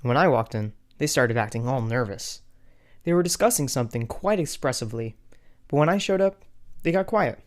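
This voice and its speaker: A man's voice: mid-range voice, expressive, brisk, natural voice. A man voicing a betrayed young man who tells his family drama wryly, in first-person cartoon story narration. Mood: reminiscing.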